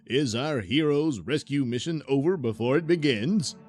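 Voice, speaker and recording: A man's voice: mid-range voice, expressive, moderate pace, a little mellow, faint music behind. A man narrating a space-adventure serial in a dramatic, scripted announcer style.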